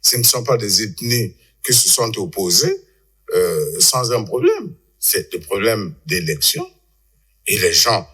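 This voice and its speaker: Male panel guest, lowish pitch, halting unscripted analysis with repeated words. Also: huge pitch swings, pushed and bright.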